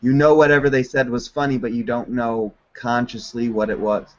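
A man's voice: medium pitch, little pitch movement, normal speed. A young man hosting a casual video call chat from a dim room at home, laid-back and laughing often. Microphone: webcam on a video call. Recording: dull lo-fi video call audio.

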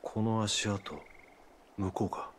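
A man's voice: lowish voice, flat delivery, slow pace, slight background noise. A man playing a stoic, earnest young warrior in a video game, speaking in a terse, serious, restrained way. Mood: calm, curious. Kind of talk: dramatic video game voice acting.

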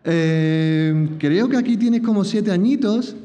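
Chatty host in a dull, echoing hall. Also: male voice, high-pitched, slow pace, mellow. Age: middle-aged. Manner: chatty, repeats words, many tag questions. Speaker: host of a chess talk. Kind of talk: live public interview. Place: small lecture hall. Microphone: table mic. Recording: dull reverberant hall sound.

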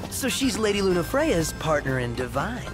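An actor playing an excitable young male sidekick in a fantasy game, casual, boyish and curious. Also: sits high, moderate pace, over music.

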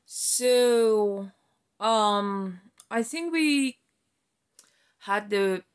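Smooth, slow-talking woman around forty. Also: medium pitch. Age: about 40. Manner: halting, rambling, reflective. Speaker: vlogger. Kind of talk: reflective personal vlog, casual talk.

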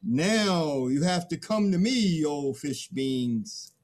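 A man's voice: fairly high pitch, animated, takes it slowly, darkish tone. A middle-aged man playing a boastful, stubborn early human in a dramatic play reading. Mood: commanding. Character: first Man, boastful, greedy, stubborn, awkward.

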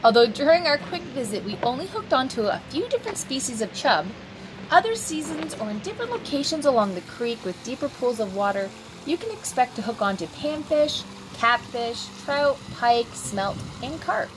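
Lively higher-pitched woman narrating. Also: normal speed, noisy background. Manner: instructive, articulate. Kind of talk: scripted informational narration.

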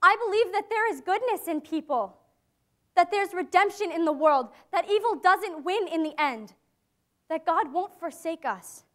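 A young woman playing an earnest, hopeful teenage girl in hiding, in a dramatic stage reading with deliberate phrasing. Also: very high voice, animated, fairly bright tone. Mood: hopeful, insistent. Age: young adult.

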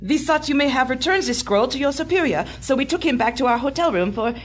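A woman's voice: high-pitched, animated, fast talker. An actress playing an imperious, coldly scornful female Nazi agent in an audio drama, commanding and formal in speech. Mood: stern, accusing. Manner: haughty, commanding, formal phrasing.

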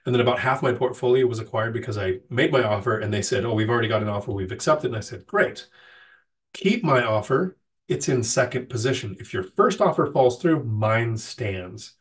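A man's voice: medium pitch, lively intonation, fairly quick, distant mic, slight background noise. A middle-aged man with a sick, nasal voice, casually answering live chat questions as host, with frequent ums and restarts.

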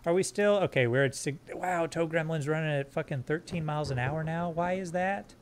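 A man streaming a game live, joking crudely with his chat in a fairly monotone voice while sorting his squad's gear. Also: fairly high pitch, fairly quick, a little hiss or hum. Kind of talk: live gameplay commentary.

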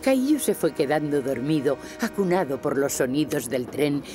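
A woman with a Castilian Spanish accent playing the gentle storyteller of a preschool cartoon. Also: deep voice, expressive, moderate pace. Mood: gentle storytelling. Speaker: narrator. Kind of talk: scripted children's cartoon narration. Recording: music behind the voice.

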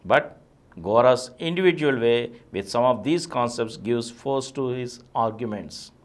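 A middle-aged man with an Indian accent reads a scripted essay aloud as a presenter, measured and declamatory, stressing the openings of phrases. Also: mid-range voice. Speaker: presenter reading a text aloud. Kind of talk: scripted reading of a philosophy essay. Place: small video studio, indoors. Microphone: lapel mic.

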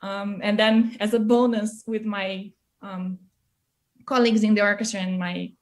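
A woman's voice: medium pitch, steady tone, normal speed. Brazilian-accented woman telling her story. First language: Portuguese. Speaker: interview guest, music educator. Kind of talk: conversational personal storytelling. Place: small indoor room, video call. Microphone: computer mic on video call.

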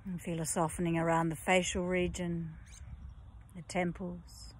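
A woman yoga teacher guiding a relaxation session in a slow, soft, soothing voice with long pauses, at times breathy and close to a whisper. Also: on the low side, flat delivery, a little hiss or hum. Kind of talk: guided yoga nidra relaxation.

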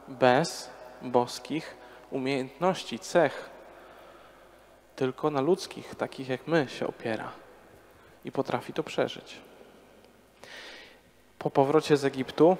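A man in his thirties, a priest, preaching a parish sermon to a congregation in a conversational way, with rhetorical questions, on a handheld microphone. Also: on the high side. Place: large church before a congregation. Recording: long church reverb.

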